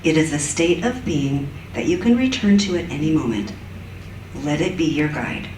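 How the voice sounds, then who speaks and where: Low, soft-voiced woman leading meditation. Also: lively intonation, moderate pace, slight background noise. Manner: soft, gentle, soothing. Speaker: meditation leader. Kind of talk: guided meditation. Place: church sanctuary. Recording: echoey church room from far off.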